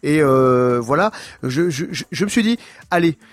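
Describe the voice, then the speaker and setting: A man's voice: a little high, lively intonation, unhurried, no room sound. A man hosting a radio show, chatting with his guest and often repeating words as he searches for them. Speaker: radio host. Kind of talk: radio interview.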